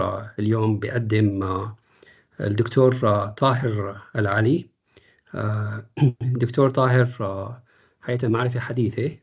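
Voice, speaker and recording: Hesitant host with a gravelly husky voice. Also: male voice, on the low side, a little mellow, dull audio. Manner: many drawn-out uh fillers, switches between Arabic and English. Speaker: host introducing a lecture. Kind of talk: hesitant bilingual introduction.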